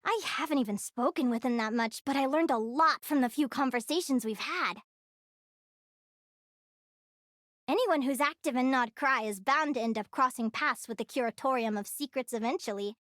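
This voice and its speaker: A woman voicing a cheerful, curious young female changeling adventurer in a video game, with an expressive, chatty delivery. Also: high-pitched, a little fast, hardly any pauses, no room sound, clean studio sound. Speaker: video game character voice actor. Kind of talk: game character voicelines.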